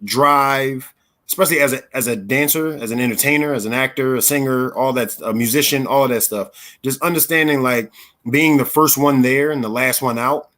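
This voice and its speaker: A man's voice: medium pitch, normal speed, fairly bright tone. An American man in his thirties from Philadelphia, telling his own story conversationally as an interview guest. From Philadelphia, United States. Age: 30s.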